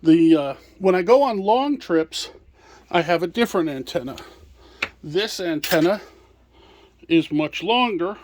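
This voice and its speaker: A man presenting products in an informal step-by-step tutorial, explaining in a practical, somewhat halting way. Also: high-pitched, expressive, a little mellow, a little hiss or hum. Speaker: product presenter and small business owner.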